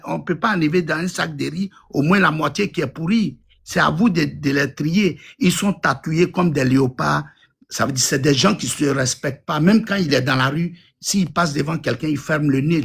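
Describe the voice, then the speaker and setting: A man's voice: fairly high pitch, creaky, slightly rough. A man in his fifties from Côte d'Ivoire, calling into a live talk room and speaking bluntly and insistently. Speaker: caller. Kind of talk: live audio room call-in.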